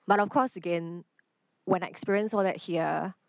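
A woman talks earnestly and thoughtfully as a podcast guest. Her voice sits on the lower side and sounds close and dry, with almost no room around it. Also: steady tone, normal speed, creaky, no room sound. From Singapore. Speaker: guest artist. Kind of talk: reflective podcast conversation.

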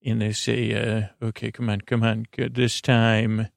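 A man with a creaky, gravelly voice hosting a sleep podcast, rambling in a lulling, halting, meandering bedtime monologue. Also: fairly low pitch, monotone, no echo, studio quality. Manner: lulling, soothing, halting with tangents.

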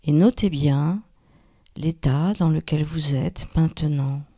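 A woman guides a relaxation meditation in a calm, soothing manner, leaving long pauses. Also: sits low. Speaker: yoga nidra guide, host.

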